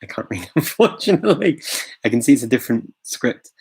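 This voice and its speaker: A man's voice: medium pitch, very animated, fairly quick, vocal fry, a little mellow, slightly rough. A man hosting a casual live-stream Q&A, chatty and full of fillers, chuckling often and greeting viewers as they join. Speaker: host of a live language-learning Q&A. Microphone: phone.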